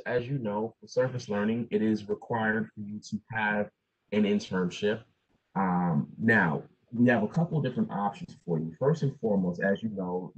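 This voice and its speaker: A man's voice: lowish voice, breathy edge. A dean in his forties answering student questions at a virtual town hall, advising with many fillers and restarts. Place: home office. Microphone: video call with earbuds. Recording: dull, muffled video call.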